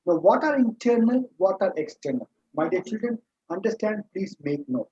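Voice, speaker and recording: A man's voice: high voice, big pitch swings, normal speed, mellow, husky, highs cut off. A man whose first language is Urdu, giving an earnest motivational talk to students, repeating key points and calling them his dear children. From India. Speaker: motivational speaker and trainer.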